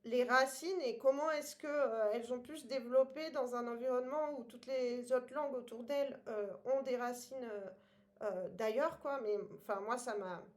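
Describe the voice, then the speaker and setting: A woman's voice: fairly high pitch, normal speed. A woman co-hosting a casual book podcast, talking with many hesitations and frequent laughs. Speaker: podcast co-host. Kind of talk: casual book-club chat.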